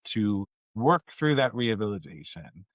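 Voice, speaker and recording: A man's voice: on the low side, sing-song, unhurried, no room sound. Earnest male interviewer, dry close sound. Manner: earnest, encouraging. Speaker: podcast host. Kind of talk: conversational interview.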